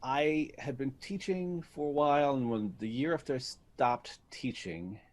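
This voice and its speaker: A middle-aged man talking casually as an actor being interviewed, telling stories and often pausing to search for words. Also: medium pitch, a little mellow.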